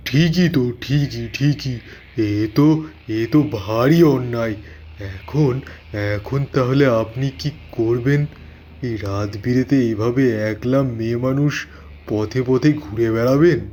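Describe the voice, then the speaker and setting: A frightened village uncle, acted by a man, who stammers his words out very slowly. The voice is dark and mellow, with faint ambient noise behind him. This is from an audio horror story. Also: mid-range voice, lightly acted. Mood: uneasy. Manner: stammering, fearful.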